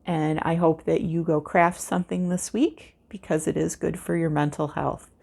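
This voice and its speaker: An American woman chatting casually in an unscripted vlog, with a calming voice and a rambling, unhurried way of talking. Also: deep voice, creaky. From United States. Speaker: craft vlogger, host. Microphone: external microphone with a cell phone.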